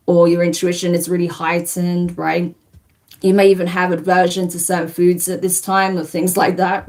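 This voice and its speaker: A woman giving a spiritual tarot reading on a livestream. She talks in a soothing, reassuring way and keeps asking "right?" and "okay?". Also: fairly low pitch. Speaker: tarot reader.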